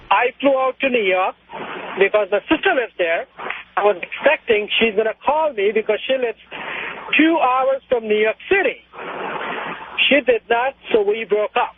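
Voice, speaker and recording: A man with a strikingly high voice phones a radio show and talks casually about his own life. The line is tinny and thin, with clear noise on it. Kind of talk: casual personal storytelling.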